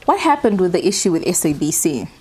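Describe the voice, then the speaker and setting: A woman's voice: on the low side, sing-song, fast, darkish tone, a little hiss or hum. A woman with a South African accent, interviewing a guest with brief questions and backchannels.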